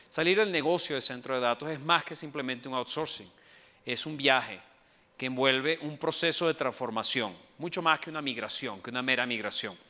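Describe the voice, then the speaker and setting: A man from Venezuela with a Venezuelan accent, giving a conference presentation as an enterprise architect, pausing often with fillers and restarts. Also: medium pitch, pushed and bright. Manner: hesitant, many fillers and restarts. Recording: long hall reverb.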